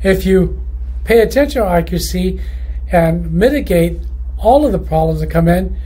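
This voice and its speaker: A man who runs a loudspeaker company, talking through his factory's quality control in a rambling, casual way, often restarting his sentences. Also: sits high, expressive, normal speed, husky, poor audio. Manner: rambling, many restarts and self-corrections. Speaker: loudspeaker manufacturer. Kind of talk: casual explanatory monologue.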